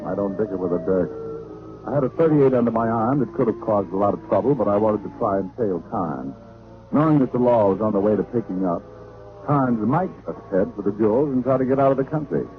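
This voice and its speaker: An actor playing a cool, wry hard-boiled private detective in 1940s radio noir style. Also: male voice, lowish voice, expressive, brisk, soft background music, close to an everyday voice. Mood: wry narration. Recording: noisy narrow-band old radio recording.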